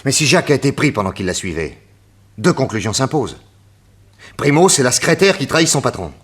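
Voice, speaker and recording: A confident detective chief in a radio serial. His mid-range voice moves expressively up and down. He reasons things out deliberately and stays bold and sure of himself. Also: medium pitch, big pitch swings, breathy edge. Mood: calm deduction. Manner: deliberate, reasoning, self-assured. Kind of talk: French radio detective drama acting.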